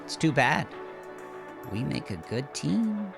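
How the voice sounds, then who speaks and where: A man acts out a young war veteran in a dramatized audiobook. He sounds carefree and colloquial on the surface, probing and calculating underneath. The delivery is slow, with a gravelly edge. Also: on the high side, big pitch swings, over music, voice pushed up, heavily acted. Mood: coaxing, rueful.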